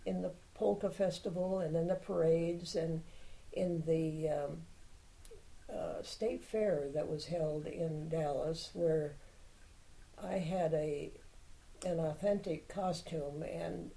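An older woman, a native Czech speaker, talks slowly and at length, reminiscing as she goes. Her voice sits a little low and sounds warm and mellow. She says what she thinks without fuss. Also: steady tone, vocal fry, rough recording. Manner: unhurried reminiscing, plainspoken opinions. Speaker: interviewee, Czech heritage club member. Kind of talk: oral history interview.

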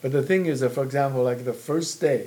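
Halting older man. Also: medium pitch, mellow. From Taiwan (born in China). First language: Mandarin Chinese. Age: 60s. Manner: halting, repeats words and phrases. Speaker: interviewee. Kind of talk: personal oral history interview. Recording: noticeable background noise.

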